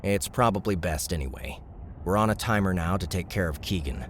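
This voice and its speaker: A man voicing a determined young gamer in an audiobook, speaking in a quiet, thoughtful way. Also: low voice, lively intonation, fairly quick, slight background noise.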